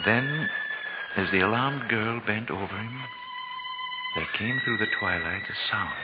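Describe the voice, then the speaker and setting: A man narrating a 1940s radio drama in a solemn, theatrical, measured way with dramatic pauses. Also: on the low side, lively intonation. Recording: muffled 1940s radio recording. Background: music.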